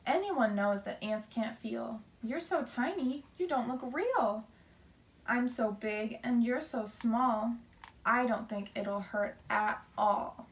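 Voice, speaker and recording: A young storybook boy, as a woman reads him, talks in a fairly high voice. He is boastful and dismissive, taunting in sing-song rhyme. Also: takes it slowly, distant mic.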